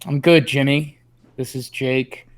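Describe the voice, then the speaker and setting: A man's voice: mid-range voice, fairly even intonation, slow pace. A man co-hosting a sports podcast, talking in casual conversation. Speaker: podcast co-host.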